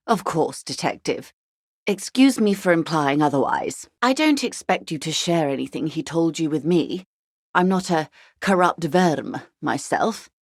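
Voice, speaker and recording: A wealthy older corporate negotiator, shrewd and urbane. Her voice sits fairly low and is recorded close and dry. She speaks in measured, polished lines with a wry edge, as video game dialogue. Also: dry recording, full character voice.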